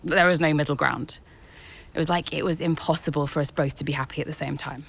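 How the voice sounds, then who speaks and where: A woman's voice: deep voice, fairly even intonation, quick pace, gravelly, husky, heavily acted. An actress playing a young female racing driver who resents her family and speaks in a direct, guarded, bitter way. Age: young adult. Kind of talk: video game cutscene acting. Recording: game cutscene with loud vehicle ambience.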